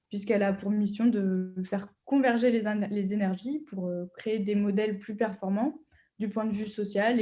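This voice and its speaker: Lady hosting a webinar, lots of uh's, mellow voice, kinda muffled audio. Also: mid-range voice. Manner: hesitant, many fillers. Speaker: webinar host. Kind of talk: webinar moderation.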